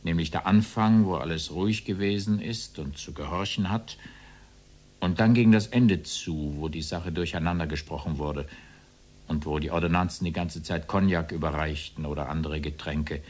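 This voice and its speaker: Very deep-voiced, evasive defendant. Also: male voice, normal speed, darkish tone. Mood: explaining, careful. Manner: formal, circumlocutory, deferential. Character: evasive, deferential former SS officer testifying at trial. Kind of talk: radio play courtroom dialogue.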